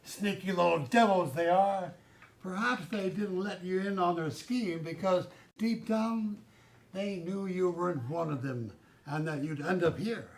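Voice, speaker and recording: A zealous corporate middle manager played by a man speaks in a high male voice. He is pompous and condescending, lecturing in grand phrases as in a satirical stage play. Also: a little slow. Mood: condescending, sinister.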